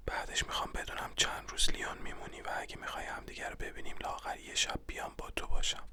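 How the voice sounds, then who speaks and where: Whispering, scatterbrained phone caller read by a narrator. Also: bright, forceful tone. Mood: breezy, rambling. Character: chatty, scatterbrained caller leaving an answering-machine message.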